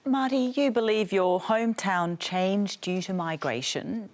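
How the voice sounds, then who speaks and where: A woman's voice: medium pitch, measured pace. A woman hosting a studio discussion, putting direct questions to her guests. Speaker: host.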